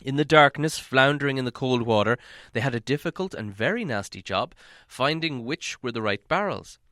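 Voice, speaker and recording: A man reading a fantasy novel aloud as an audiobook narrator, in dramatic, measured storytelling. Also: medium pitch, animated, fairly bright tone, no room sound, clean studio sound.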